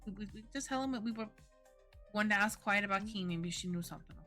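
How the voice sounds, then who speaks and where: A woman's voice: mid-range voice, quick pace, sharp tone. A woman in a tabletop role-playing session who explains herself earnestly, often stumbling and restarting. Her voice sits in the middle range for a woman. Faint music plays behind her. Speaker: tabletop game player. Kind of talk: casual in-character game chat.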